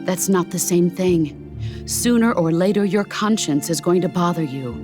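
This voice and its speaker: A woman voicing a stern, middle-aged veteran soldier and mentor in a video game, grave and deliberate. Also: lowish voice, close to an everyday voice. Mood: stern, solemn. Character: stern, solemn veteran female soldier, mentor to younger man. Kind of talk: video game cutscene voice acting. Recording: music behind the voice.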